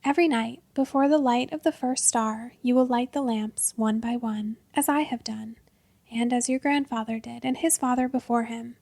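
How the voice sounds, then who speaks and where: A woman narrates a storybook in a hushed, breathy voice that often sinks to a whisper. Her tone sits a little high, with a gravelly fry at the ends of phrases. The recording is close and dry, with no room sound. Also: steady tone, normal speed, no echo.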